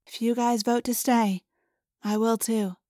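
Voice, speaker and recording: A gentle teenage girl from an audiobook, voiced by a woman. She speaks softly and earnestly, high in pitch and thoughtful, with a dry, close sound. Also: steady tone, measured pace, no room sound, close to an everyday voice. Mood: sad, resigned. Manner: soft, earnest.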